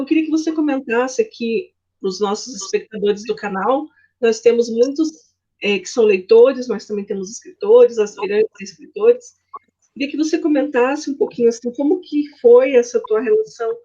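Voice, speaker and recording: A woman's voice: fairly high pitch, dark tone, slightly rough. A woman hosting an interview, asking long winding questions with frequent "né". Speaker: host, interviewer. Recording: dull, muffled audio.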